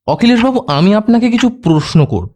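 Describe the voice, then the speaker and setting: Man acting a high-voiced, probing detective. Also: very animated, dark tone, slight background noise. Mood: probing, polite. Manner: measured, polite, insistent. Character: composed, probing male private detective questioning suspects. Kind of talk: audio drama acting. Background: background music.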